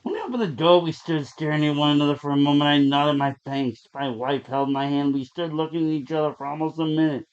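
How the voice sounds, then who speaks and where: A man reading a horror story aloud as a dramatic narrator, with deliberate, suspenseful delivery. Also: fairly high pitch, fairly even intonation, clear, resonant tone. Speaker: story reader. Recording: dull, lo-fi sound.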